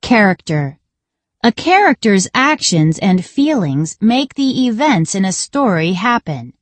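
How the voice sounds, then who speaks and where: Woman narrating a kids reading lesson, scripted, slow and deliberate, really up and down pitch. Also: sing-song, no echo. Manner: deliberate, instructional. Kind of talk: scripted educational read-along narration for children.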